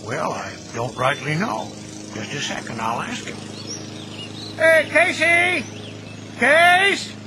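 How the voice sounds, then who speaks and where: A man's voice: very high voice, slow pace, long pauses, over music. An actor playing a folksy, kindly American graveyard caretaker in his fifties, plain-spoken and neighborly, in an audio drama. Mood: easygoing. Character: folksy, kindly middle-aged graveyard caretaker who chats with the dead. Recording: noisy outdoor ambience with birdsong.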